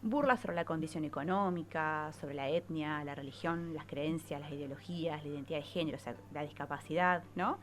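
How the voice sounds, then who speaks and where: A woman's voice: deep voice, fairly quick, hardly any pauses, a bit bright. A woman with an Argentine Spanish accent gives an explanatory radio talk as a psychologist, in a didactic way, often checking in with short questioning tags. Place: radio studio. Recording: clearly noisy radio recording.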